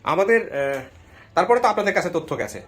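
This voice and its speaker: A man's voice: high-pitched, big pitch swings, a little fast, a bit bright. A man with a Bangladeshi accent hosting a show, questioning guests conversationally and repeating their answers back. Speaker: show host.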